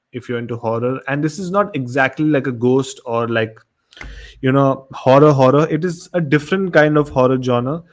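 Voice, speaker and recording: A man with an Indian accent hosts a horror show in a casual livestream style. His voice is medium-pitched, and he talks directly to his listeners, often repeating phrases to stress them.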